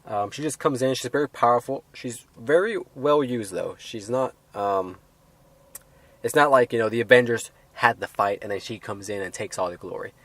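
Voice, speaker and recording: A man reviewing a film in a casual, unscripted way, halting and rambling as he hedges his points. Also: mid-range voice, fairly bright tone, no room sound.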